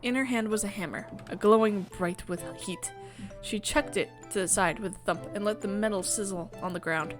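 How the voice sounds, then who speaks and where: A woman's voice: mid-range voice, moderate pace, over music. A woman reading story narration aloud from a visual novel in a casual playthrough. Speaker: visual novel reader and streamer.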